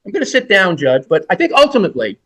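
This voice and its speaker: A man's voice: high-pitched, very animated, fast, pushed and bright. A man in his sixties, a defense lawyer, making an oral argument in court in an emphatic, rhetorical and repetitive way.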